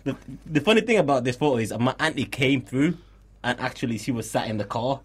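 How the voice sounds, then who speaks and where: A man's voice: mid-range voice, expressive, a little fast, husky. A man from Uganda, a comedian guest in a radio studio, telling stories in casual chat and chuckling often. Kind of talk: casual chat, anecdotal storytelling.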